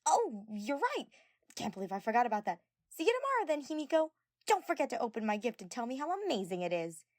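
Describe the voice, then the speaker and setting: A woman acts out a brash teenage girl genius in an audio story. The voice is pushed high and loud, with big swings. She brags boldly and gets defensive fast, in a very dry recording. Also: sing-song, dry recording. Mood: loud, smug. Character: brash, boastful, foul-mouthed teenage girl inventor.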